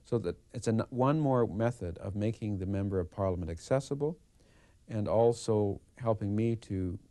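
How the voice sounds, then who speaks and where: A man's voice: on the low side, moderate pace, warm tone, no room sound. A middle-aged man answering interview questions thoughtfully, with hesitations and restarts. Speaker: member of parliament interviewed.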